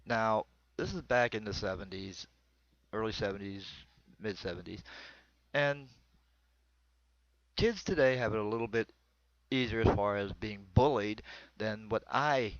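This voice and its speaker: A man's voice: fairly low pitch, unhurried, no room sound, muffled recording. A middle-aged man with an American accent tells comic personal stories in a colloquial, rambling, crude-humored way. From small town in northwestern Pennsylvania, United States. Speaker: comedian telling stories. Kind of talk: comic personal storytelling monologue.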